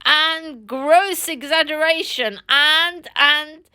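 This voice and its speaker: A woman's voice: sits high, takes it slowly, bright, forceful tone, voice pushed up, exaggerated voice. A woman voicing a kind but firm male water rat who speaks earnestly.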